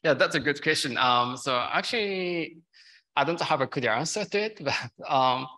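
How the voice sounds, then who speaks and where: A man's voice: on the high side, expressive, moderate pace, pushed and bright. A man in his thirties answering technical questions as a conference speaker, hesitant, with non-native English phrasing. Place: large lecture theatre, at a podium. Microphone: podium gooseneck microphone.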